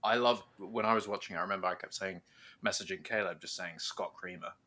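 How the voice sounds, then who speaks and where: A man with a bright, forceful voice hosts a casual podcast. He talks in an enthusiastic, informal way, like a devoted fan among friends, and drops in the odd swear word. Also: deep voice, brisk. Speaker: podcast host.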